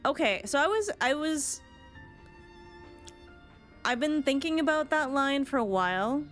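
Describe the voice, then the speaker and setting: A woman's voice: high-pitched, animated, measured pace, fairly bright tone. A woman game streamer giving casual reaction commentary on cutscenes, thinking aloud as she goes. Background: game music.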